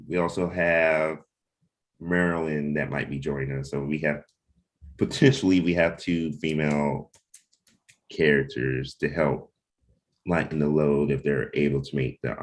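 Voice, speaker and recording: A man's voice: rumbling low, little pitch movement, a little slow. A man hosting a casual livestreamed team chat, speaking informally and a little hesitantly as he thinks aloud. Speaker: host and comic creator leading a cast meeting.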